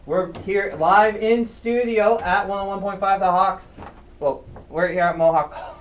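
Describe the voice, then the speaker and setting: High-voiced playful radio host, off-mic. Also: male voice, normal speed, recorded from a distance, a little hiss or hum. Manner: playful, laughing, flustered. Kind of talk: live radio studio interview. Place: college radio studio.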